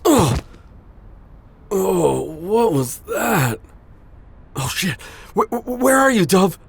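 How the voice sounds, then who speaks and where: A man playing a gentle, protective civilian friend in an audio drama, earnest and hesitant with a slight stammer. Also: sits high, very animated, drawn-out words, creaky, noisy background, heavily acted. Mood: alarmed. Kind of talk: naturalistic audio roleplay drama acting.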